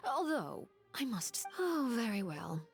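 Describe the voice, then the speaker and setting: A woman's voice: a little high, very animated, measured pace. A woman playing a composed, shrewd questioner in a video game, formal and pointedly courteous. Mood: gracious. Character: composed, shrewd, coolly polite female interrogator. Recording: music under voice.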